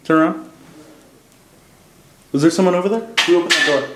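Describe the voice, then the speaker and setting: A man's voice: fairly high pitch, a little fast, far from the mic, very noisy, close to an everyday voice. A young man playing a jittery amateur ghost hunter in a comedic mockumentary. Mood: alarmed. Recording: echoey room with music.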